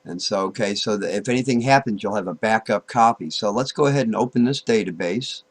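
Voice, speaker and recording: A man giving an informal, unscripted software tutorial, thinking aloud, halting and repeating himself as he goes. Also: lowish voice. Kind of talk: informal screen-recorded tutorial, unscripted walk-through.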